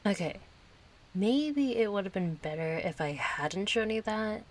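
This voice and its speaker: A woman's voice: medium pitch, expressive, measured pace, lightly acted. A woman acting a kind, chatty young friend in an intimate audio roleplay, casual, playful and a little hesitant. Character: kind, chatty young female friend, gently yearning for closeness.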